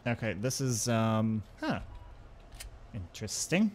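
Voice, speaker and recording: A man's voice: on the low side, very animated, slow pace, a little mellow, a little hiss or hum. Man thinking aloud in casual game commentary. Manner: thinks aloud, talking through each step. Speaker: gaming video host. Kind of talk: casual let's-play commentary.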